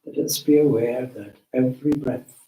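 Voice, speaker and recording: A man leading a guided meditation as a teacher, with measured, deliberate phrasing and long pauses. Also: medium pitch, lo-fi sound. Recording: roomy, echoing space.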